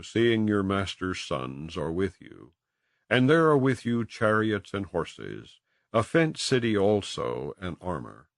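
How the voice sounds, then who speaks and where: A man's voice: on the low side, no echo, studio quality. A man reading scripture as an audiobook narrator, with a solemn, dramatic storytelling delivery. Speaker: audiobook reader. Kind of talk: scripted scripture narration, King James Bible.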